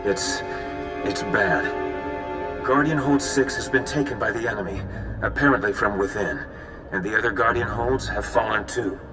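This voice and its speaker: An actor playing a worried, businesslike military ally in a video game, brisk and concerned. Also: male voice, lowish voice, music in the background, natural voice. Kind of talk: video game cutscene acting.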